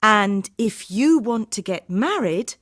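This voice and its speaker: A woman's voice: high voice, big pitch swings, takes it slowly, no echo, studio quality, exaggerated voice. An actress playing an anxious, pleading young woman desperate to get home in an audiobook story. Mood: insistent. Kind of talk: audiobook dialogue acting.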